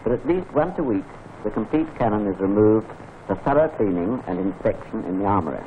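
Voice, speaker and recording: A British man giving measured, formal narration for a wartime training film. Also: lowish voice, expressive. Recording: tinny 1940s film soundtrack with faint hiss.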